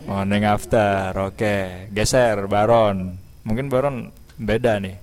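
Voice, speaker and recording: A man's voice: on the low side, steady tone, slow pace, darkish tone. A man hosting a casual radio music interview. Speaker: radio host.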